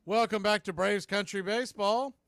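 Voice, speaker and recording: A man's voice: sits high, fairly even intonation, normal speed, heavy background noise. A man giving live baseball play-by-play as announcer and host, speaking in clipped phrases with brief asides.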